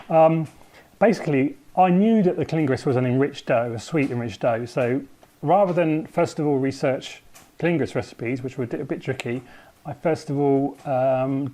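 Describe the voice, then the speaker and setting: A man's voice: mid-range voice, warm tone. Guy chatting about his bake, lots of ums, rambles a bit, regular middle voice. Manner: rambling, thinks aloud with frequent ums. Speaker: home baker presenting a recipe. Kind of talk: casual recipe explanation to camera.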